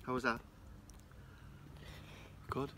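An older man chatting casually while fishing by a lake outdoors, exclaiming excitedly, recorded on a phone camera. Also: extremely slow. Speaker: grandfather fishing. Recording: loud outdoor noise.

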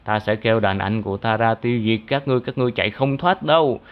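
A man's voice: on the low side, muffled recording, lightly acted. Man voicing a menacing giant snake monster. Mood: boastful, vexed. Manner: drawn-out taunting threats.

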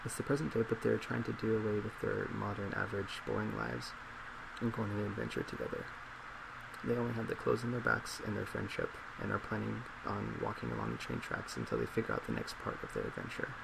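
A young man with a fairly low voice plays a psychologist in a soft-spoken ASMR role play. He talks in a measured, reassuring, slightly hesitant way, with heavy vocal fry. A loud laptop fan drones behind him in the small office. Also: heavy background noise. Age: 20s.

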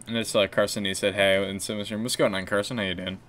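A young man with a deep voice chatting on a gaming stream, low-key and rambling with fillers and restarts. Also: little pitch movement, fast, fairly bright tone. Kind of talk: casual gaming stream chat.

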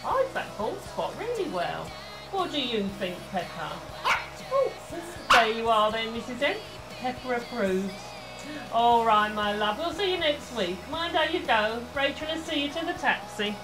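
A woman's voice: on the high side, very animated, a little slow, music in the background, rough recording. A woman playing a chatty, gossipy, bustling hair salon owner in an audio play, gushing and exclaiming. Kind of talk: amateur audio play acting.

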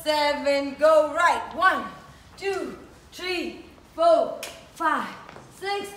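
Female dance teacher, high voice, unhurried counts and step cues, recorded at a distance with music. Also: slight background noise. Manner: energetic, instructing in short calls. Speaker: dance instructor. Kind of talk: calling out dance steps and counts. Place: large indoor dance studio. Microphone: distant phone camera. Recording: echoey studio, far from phone, music.

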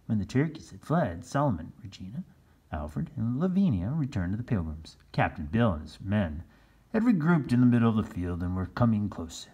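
Male storybook reader: very lively pitch swings, husky tone, takes his time. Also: brisk. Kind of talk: expressive children's read-aloud narration.

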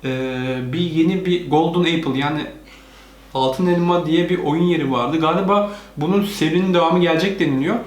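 A man's voice: a little high, moderate pace. Man chatting as gaming host, roomy echo. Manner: explains to viewers and to a child. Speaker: host of a family gaming channel. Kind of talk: casual chatty reaction and gameplay commentary. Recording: roomy echo, music behind. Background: cartoon and game audio with music.

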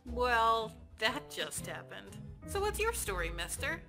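A woman acts out a young she-demon in a comic-book cartoon. Her voice sits fairly high over prominent music. She is excitable and expressive, with a casual, foul-mouthed way of talking. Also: takes it slowly, gravelly, exaggerated voice.

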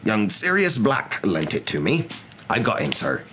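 Man doing a big shaggy humble fellow, emotional, halting, rustic, storybook, slight background noise. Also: on the low side, wildly swooping pitch, bright, forceful tone, pitched above the performer's own voice, exaggerated voice. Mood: respectful. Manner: halting, stammering, rustic grammar.

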